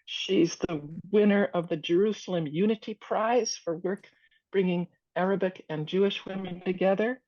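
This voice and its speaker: Woman, moderator, low voice, informal, hesitant, dry close sound. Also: a little mellow, dry recording, lo-fi sound. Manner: informal, hesitant, with many fillers. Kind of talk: introducing a guest at an online meeting.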